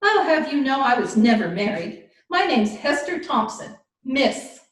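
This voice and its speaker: Woman acting as old spinster, high pitch, suspicious, indignant, radio play, roomy remote recording. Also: very animated, unhurried. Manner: nosy, curt, indignant, folksy grammar. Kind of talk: old-time radio detective drama acting. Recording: roomy remote call, distant from mic.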